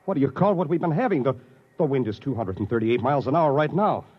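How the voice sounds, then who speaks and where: Husky, exasperated space explorer played by a man. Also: medium pitch, animated, fast talker, natural voice. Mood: alarmed. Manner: urgent, incredulous, emphatic. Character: alarmed, exasperated human space station explorer. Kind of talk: 1950s radio drama acting. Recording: dull old radio recording.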